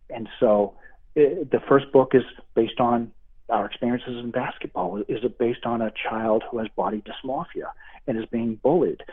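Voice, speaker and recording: A middle-aged man with a Boston accent talks earnestly and warmly of faith as a guest. His voice is a little husky and comes through a tinny phone line. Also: medium pitch. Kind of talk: interview over the phone.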